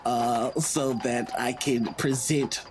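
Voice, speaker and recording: Slow, halting tabletop game player. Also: mid-range voice, steady tone. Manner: hesitant, halting. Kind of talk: casual in-character improvised account.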